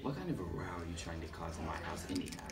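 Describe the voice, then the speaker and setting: Low-voiced, blustering jealous husband, played by a man for a puppet show; indignant; distant, roomy mic. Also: lively intonation, fast, heavy background noise. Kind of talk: amateur puppet show reading. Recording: roomy, from far off.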